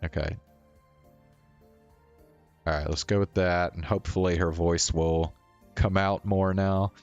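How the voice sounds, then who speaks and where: A man livestreaming a visual novel, reading it aloud with casual asides, with soft game music behind him. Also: low-pitched, steady tone, unhurried, clear, resonant tone, lo-fi sound.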